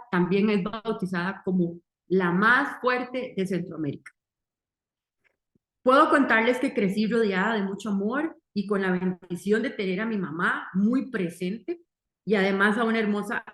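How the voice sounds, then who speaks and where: A Costa Rican woman in her forties with a Costa Rican accent, giving an earnest success-story testimonial in short, deliberate, emphatic phrases. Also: medium pitch. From Costa Rica. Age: 40s. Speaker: marketing entrepreneur giving a testimonial. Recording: roomy echo.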